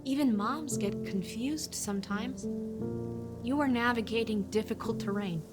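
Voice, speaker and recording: A woman playing a gentle, forgiving daughter who speaks with comforting wisdom in a family audio drama. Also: on the high side, measured pace, loud music behind the voice, natural voice. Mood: tender. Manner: soft-hearted, reassuring.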